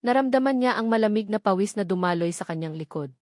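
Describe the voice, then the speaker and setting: A Filipino woman tells a romantic story from a script. Her voice sits at a middle pitch, and her delivery is dramatic and measured. The sound is dry, with no room around it. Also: animated, darkish tone, no room sound. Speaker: story narrator.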